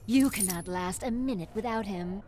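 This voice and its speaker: An actress playing a haughty, scornful warrior princess in a video game, regal and disdainful. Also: female voice, mid-range voice, moderate pace, mellow, slight background noise, close to an everyday voice. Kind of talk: video game fight intro dialogue.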